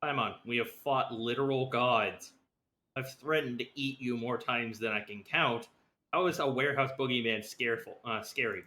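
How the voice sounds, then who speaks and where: A man's voice: mid-range voice, moderate pace, pushed and bright. A man streaming a video game, reading its dialogue and letters aloud. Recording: clear background noise on stream mic.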